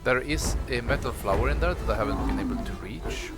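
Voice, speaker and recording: A man's voice: medium pitch, fairly quick. A man giving casual gameplay commentary, thinking aloud in a muttering way. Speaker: let's play host. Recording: loud game music behind the voice. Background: game music and sounds.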